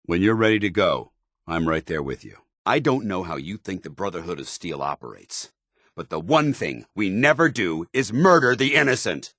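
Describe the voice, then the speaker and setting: A stern video game paladin, with a very low, husky voice that moves expressively. He is zealous and loyal, speaking with clipped military formality in a close, dry recording. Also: a bit bright, dry recording, exaggerated voice. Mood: steady, firm, then indignant. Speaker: companion soldier character.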